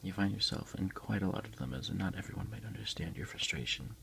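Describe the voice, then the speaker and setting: A man speaking as an ASMR host, soft-spoken and unhurried, in a casual style. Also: sits low, gravelly, a little hiss or hum. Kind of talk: whispered ASMR unboxing, reading aloud and chatting.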